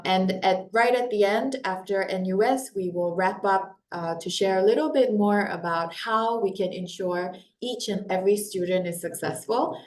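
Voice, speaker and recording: A woman's voice: fairly low pitch, slight background noise. Upbeat woman hosting a webinar. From Korea. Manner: upbeat, welcoming, with frequent fillers. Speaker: webinar host and company founder. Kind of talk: live webinar presentation. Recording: echoey room.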